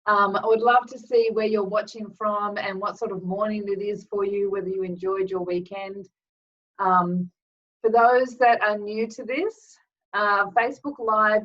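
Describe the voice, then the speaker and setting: A woman energy healer hosting a live webinar with a slightly croaky throat, chatting casually with lots of ums and greeting viewers by name. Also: mid-range voice. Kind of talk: casual live-stream talk. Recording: distant, dull lo-fi webinar audio.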